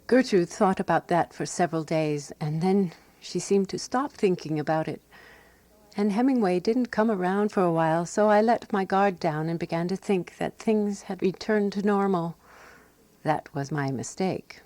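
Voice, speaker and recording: A woman playing a fussy, resolute amateur sleuth who narrates her own mystery in a radio drama, prim and measured. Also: lowish voice, mellow, no room sound, close to an everyday voice. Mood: measured storytelling.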